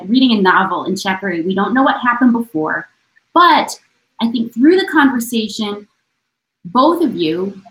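A woman giving reflective panel remarks as a scholar, in a measured, earnest and deliberate way. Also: medium pitch, fairly bright tone. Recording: roomy, echoing voice pickup.

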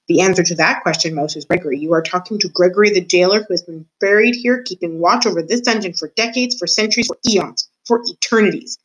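A woman's voice: lowish voice, fast, hardly any pauses, lightly acted, low-quality recording. Woman voicing a grandiose, menacing old jailer for a storybook read-aloud. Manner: menacing, theatrical, speaks of himself by name. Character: old male dungeon jailer, creepy and self-important.

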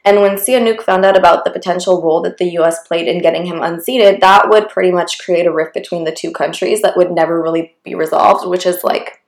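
A woman's voice: on the low side, fairly even intonation, fairly quick, few pauses, roomy sound. A young woman co-hosting a casual history chat, chatty and wry, saying "like" often. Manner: chatty, lots of "like", wry asides. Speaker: co-host.

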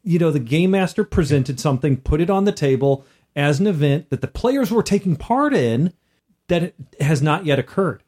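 Male podcast co-host, slightly high register, swooping expressive pitch. Casual game master chatting informally. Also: moderate pace. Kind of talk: casual chat about tabletop gaming.

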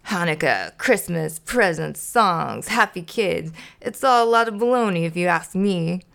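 Casting: gruff, scornful trash-can grouch for a storybook, gravel-voiced grumbler, played by a woman. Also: fairly low pitch, expressive, a little slow, a bit bright, exaggerated voice. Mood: grouchy, scornful. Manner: grumbling, dismissive.

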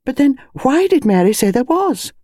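A woman voicing an excitable upper-class English country wife in an audiobook, urgent and insistent. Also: high voice, moderate pace, dry recording, studio quality, pitched above the performer's own voice. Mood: urgent insistence.

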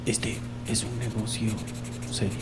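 A man's voice: lowish voice, level tone, measured pace, lightly acted. An actor playing a dim, eager Bolivian henchman in a radio drama, deferential, flustered and literal-minded toward his boss. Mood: servile, eager. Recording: echoey, reverberant space with faint noise.

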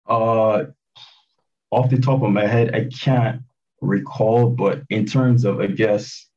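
A man's voice: on the low side, steady tone, takes it slowly, darkish tone. A man in his late twenties from Barbados, a hip hop producer chatting casually as a guest, hesitant with many fillers and restarts. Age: 20s. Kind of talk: casual interview chat. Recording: dull, distant lo-fi call audio.

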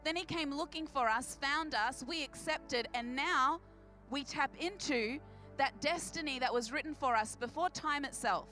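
Female Australian preacher, high and bright, projecting hard with emphatic repetition, music underneath. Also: moderate pace. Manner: emphatic, repeating phrases for effect; big, projecting voice. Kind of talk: sermon to a church congregation. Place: church service.

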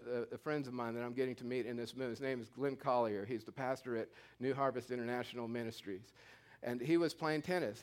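A pastor from California delivering a sermon, stressing key words by slowing down, with frequent restarts. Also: male voice, on the high side.

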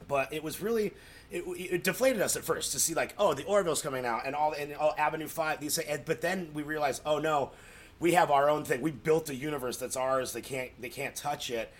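A man's voice: fairly high pitch, brisk. An American man talking casually and effusively as a podcast guest, telling stories in a rambling way, repeating words for emphasis and acting out quoted reactions. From Colorado, United States. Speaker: podcast guest, comedy writer. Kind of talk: casual, animated storytelling.

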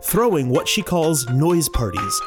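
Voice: mid-range voice, very animated, unhurried, music in the background. A student presenter reading scripted narration for an audio feature.

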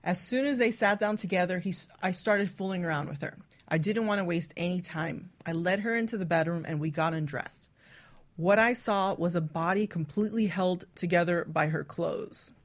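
Lower-pitched woman hosting candid talk radio. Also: moderate pace. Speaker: radio talk show host. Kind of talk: candid casual chat, reading out listener stories.

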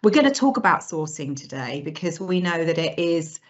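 A woman's voice: low-pitched, sing-song, moderate pace, muffled recording. A woman hosting a podcast, upbeat and jokey as she introduces the topic and puts questions to her guest. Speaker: podcast host.

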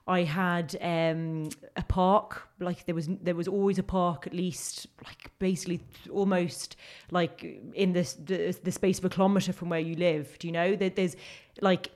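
A young woman with a London accent talks in an earnest, chatty way, full of "um" and "you know." Her voice sits a little low for a woman, and she rushes some phrases while stretching others out. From England. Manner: earnest, animated, many fillers. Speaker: guest, local election candidate. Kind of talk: casual podcast conversation.

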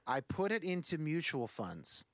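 A man's voice: a little high, big pitch swings, a little slow, fairly bright tone, no room sound. A man in his forties, a musician guest on a podcast, talking casually in a rambling, thoughtful way with many fillers. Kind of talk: casual conversation.

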